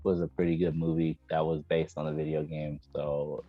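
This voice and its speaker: A man chatting casually as a gaming show host, slangy and opinionated, given to excitable interjections. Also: rumbling low, moderate pace. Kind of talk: casual opinionated chat about video game movies. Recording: dull, echoey room, faint music behind.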